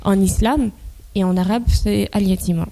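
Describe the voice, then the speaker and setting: A woman's voice: medium pitch, steady tone, moderate pace, creaky, warm tone. A woman from Guinea whose first language is Fula, talking as an interview guest in a casual, hesitant way with many fillers. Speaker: interview guest, NGO founder.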